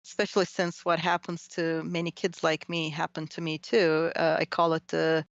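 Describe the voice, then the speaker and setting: Woman from Turkey, middle-aged, interview guest, animated conversational storytelling, self-interrupting, vocal fry, dry slightly dull recording. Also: sits low, normal speed, no room sound. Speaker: interview guest, technology and society researcher.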